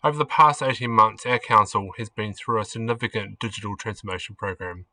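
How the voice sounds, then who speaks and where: A man's voice: lowish voice, fairly quick, sharp tone. A man, a chief digital officer, giving an upbeat scripted presentation. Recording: voice somewhat distant from mic.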